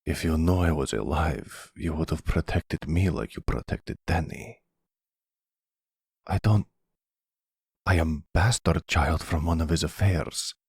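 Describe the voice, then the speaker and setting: Man playing a gravelly, timid young Russian mobster. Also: extremely low pitch, darkish tone, no echo, studio quality, heavily acted. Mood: subdued, hurt. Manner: halting, formal phrasing without contractions. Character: timid, wounded young mobster, a rejected bastard son. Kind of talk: ASMR roleplay audio drama.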